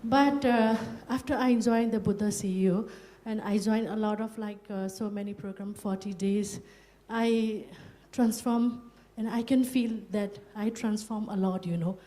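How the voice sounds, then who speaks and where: Soft-toned woman sharing her story. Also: mid-range voice, steady tone. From Burma. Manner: halting, many fillers and "you know". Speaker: retreat participant. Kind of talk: personal testimony.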